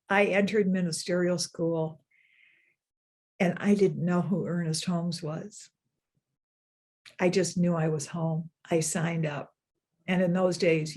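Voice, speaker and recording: Older female minister, sermon delivery, lower voice, earnest, drawn-out emphasis. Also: a little mellow, husky. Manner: earnest, repeats phrases, slows down for emphasis. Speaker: senior minister.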